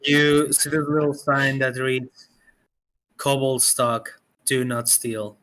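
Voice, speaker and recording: Guy running a tabletop game, slow talker, bright voice, lots of uhs. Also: mid-range voice, flat delivery, rough recording. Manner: slow, halting narration with fillers. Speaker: game master.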